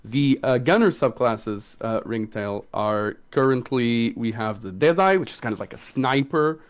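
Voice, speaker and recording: A man's voice: medium pitch, expressive, measured pace, clean tone. A man who designs tabletop games, chatting casually as co-host of a question-and-answer livestream.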